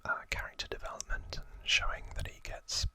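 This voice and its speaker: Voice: a little fast, bright, forceful tone. An ASMR host whispering an informal, conversational recap, close to the microphone. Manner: casual, informal recap with repeated words for effect. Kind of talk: whispered ASMR storytelling and trivia.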